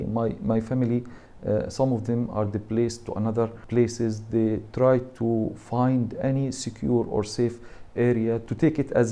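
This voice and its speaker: A man from Gaza with a Palestinian Arabic accent, giving a personal account in halting non-native English, often searching for words. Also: lowish voice, dark tone. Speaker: university lecturer giving personal testimony.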